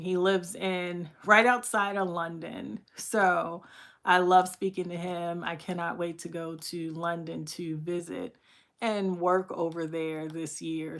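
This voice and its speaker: Casual live host, woman, raspy after the flu, slow easygoing delivery, chatty. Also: on the low side, fairly bright tone. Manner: chatty, laughing, greets viewers by name. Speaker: livestream host. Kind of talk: casual livestream chat with viewers.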